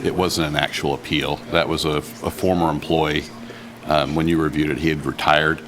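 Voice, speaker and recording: A man's voice: rumbling low, flat delivery, moderate pace, background noise. A matter-of-fact man explaining procedure in a meeting, as an official would.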